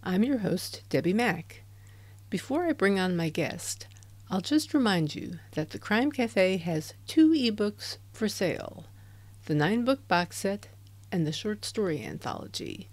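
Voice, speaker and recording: Woman host, measured scripted read, dry close sound, handheld mic. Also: mid-range voice, big pitch swings, no echo. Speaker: podcast host. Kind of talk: scripted announcement. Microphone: handheld dynamic USB mic.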